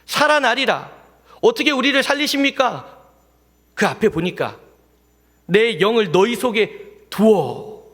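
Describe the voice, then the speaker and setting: High-voiced male pastor preaching in a church. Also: unhurried, pauses often. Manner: lively preaching with questions to the congregation. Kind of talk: sermon. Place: church sanctuary. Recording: long hall reverb in a church.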